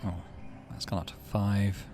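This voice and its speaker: A man hosting a let's play, reading a story game aloud with expressive delivery and muttering asides about his moves. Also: lowish voice, moderate pace, vocal fry, music in the background.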